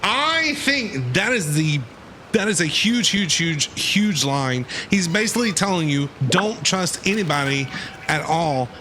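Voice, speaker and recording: A man's voice: high voice, big pitch swings, measured pace, bright, forceful tone, slight background noise. A man streaming a game with casual, slangy commentary, repeating words for emphasis. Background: faint game music.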